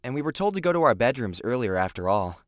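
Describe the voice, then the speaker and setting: A man's voice: mid-range voice, very animated, fast, no room sound, close to an everyday voice. Man playing a cool, wisecracking youth, dry recording. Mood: reassuring. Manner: teasing, sardonic, foul-mouthed. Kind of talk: anime-style audio drama acting.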